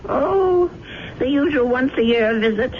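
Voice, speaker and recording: A woman's voice: sits high, animated, slow. An actress playing a chatty, kindly elderly grandmother who is easily flustered, in a radio drama. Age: older. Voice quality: fluttery, talkative, easily flustered. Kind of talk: 1970s radio drama acting. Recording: dull old radio broadcast with hiss.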